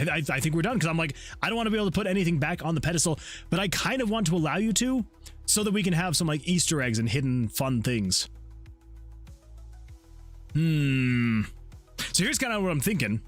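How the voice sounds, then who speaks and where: A man hosting a game development livestream, chatting casually with viewers and rambling excitably as he thinks out loud. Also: on the high side. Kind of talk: casual chat with stream viewers while working. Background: background music.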